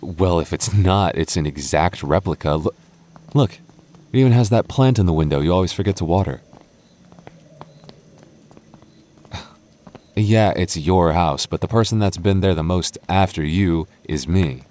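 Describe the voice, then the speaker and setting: A low-pitched young goth boyfriend, acted by a man in an intimate audio roleplay. He speaks earnestly and haltingly, stammering as he goes. He is protective and playful, and gets flustered easily. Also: mellow, natural voice. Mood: hesitant, intrigued.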